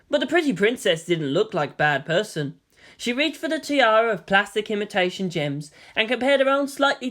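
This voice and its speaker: A woman reading scripted fiction aloud as an expressive story narrator. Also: mid-range voice.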